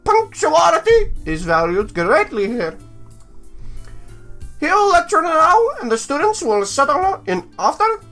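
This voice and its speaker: A man voicing a polite, businesslike young woman, a staff guide in a visual novel, speaking in a slow, instructive, drawn-out way. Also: extremely high pitch, very animated, sharp tone, soft background music, pitched above the performer's own voice, full character voice. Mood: courteous, instructive.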